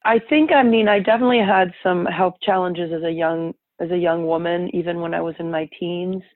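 A woman's voice: fairly low pitch, fairly even intonation, brisk, dry recording. Muffled-line woman guest, native French speaker. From born and raised in Paris, France, to American parents. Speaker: interview guest, health advocate. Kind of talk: conversational personal storytelling. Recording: muffled, band-limited remote line.

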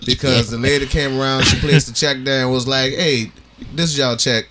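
Bright-voiced man bantering on a podcast. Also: medium pitch, steady tone, moderate pace. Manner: profane, slangy, teasing. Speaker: podcast co-host. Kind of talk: casual joking banter and storytelling.

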